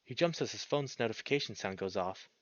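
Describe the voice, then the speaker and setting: A man reading a visual novel aloud as expressive narration, breaking off into casual, sweary, slangy reactions. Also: lowish voice, fairly quick, dry recording, lo-fi sound. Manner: animated, sweary, slangy interjections.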